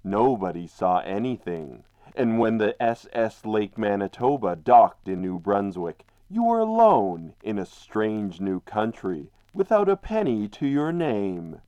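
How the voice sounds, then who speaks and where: An actor playing a grave narrator who needles the protagonist directly in a true-crime radio drama. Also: male voice, on the low side, sing-song, a little slow, few pauses, dark tone, no room sound, highs cut off. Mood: grave, accusing.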